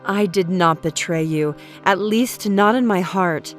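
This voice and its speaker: A woman acting a calm, devoted ancient woman in an audio drama, earnest toward her king. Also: fairly low pitch, unhurried, soft background music, close to an everyday voice. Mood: earnest, pleading.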